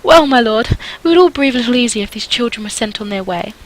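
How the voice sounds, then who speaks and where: A woman's voice: on the high side, animated, fast talker, pitched above the performer's own voice, close to an everyday voice, rough recording. A woman voicing haughty, affronted, melodramatic French society ladies in a visual novel. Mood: whining complaint. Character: snobbish, shrill, indignant French society ladies.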